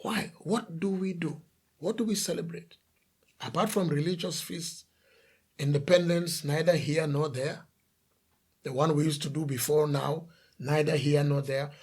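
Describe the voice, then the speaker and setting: A man's voice: a little high, steady tone, a little slow, a little mellow, breathy edge. A man from The Gambia preaching a sermon as a pastor, emphatic, asking rhetorical questions and repeating lists of phrases.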